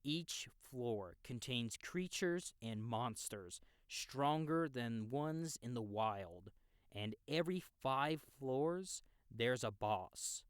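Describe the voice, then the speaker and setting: A man's voice: mid-range voice, lively intonation, takes it slowly, clean tone, no echo, studio quality. A man playing an easygoing young experienced game player who explains the world to a newbie in a casual, knowing way, in an audiobook. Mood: knowing, explanatory. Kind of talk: audiobook character acting, explanatory dialogue.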